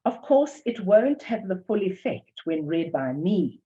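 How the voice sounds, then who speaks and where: A woman's voice: medium pitch, very animated, unhurried, dark tone. An older woman with a South African English accent giving an academic lecture, reading carefully from a prepared text and reading poems aloud. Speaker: academic presenter. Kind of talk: lecture presentation with poetry reading. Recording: dull lo-fi recording.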